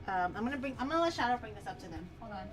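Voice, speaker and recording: A woman whose first language is Mandarin chats away on a casual cooking stream, playful and quick to giggle. Her voice sits very high. Music plays clearly behind her. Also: lively intonation. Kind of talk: casual cooking livestream chat. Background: background music.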